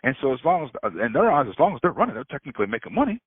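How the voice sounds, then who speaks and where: A man's voice: mid-range voice, big pitch swings, rapid-fire, slightly rough, no room sound. A man, a freight broker, ranting in casual talk on a phone call, swearing a lot and laughing often. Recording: tinny phone line.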